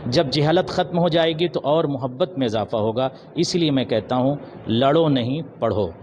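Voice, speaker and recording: A man answering an interview in a measured, formal, preaching manner, pausing and mixing in English words. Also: medium pitch, lo-fi sound. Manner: measured, formal Urdu-laced diction with pauses and some English words. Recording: noisy outdoor urban street recording with traffic. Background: loud street ambience and vehicles.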